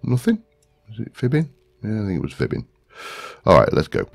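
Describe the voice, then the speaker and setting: A man's voice: fairly low pitch, wildly swooping pitch, a little fast, vocal fry, soft background music. A man with a stuffy head cold, hosting a gaming video with casual, rambling commentary and lots of ums. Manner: rambling, talks to himself while searching, many ums.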